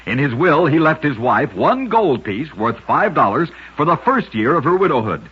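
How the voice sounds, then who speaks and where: A man's voice: a little high, very animated, normal speed, husky. A man narrating short scripted oddity stories as a radio host, with a dramatic storytelling delivery. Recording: muffled vintage radio recording.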